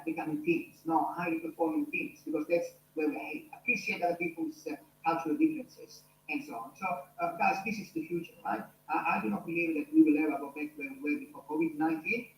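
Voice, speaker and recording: A man's voice: fairly high pitch, a little fast. Italian-accented male lecturer, earnest, lots of uh and restarts, echoey room, faint hum. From Italy. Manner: earnest, many fillers and restarts. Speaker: university lecturer. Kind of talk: online class lecture.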